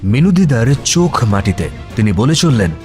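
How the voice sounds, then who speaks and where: Animated male suspense storyteller. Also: mid-range voice. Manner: suspenseful, with dramatic pauses. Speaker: story narrator. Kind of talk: dramatic scripted suspense narration. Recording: music behind the voice.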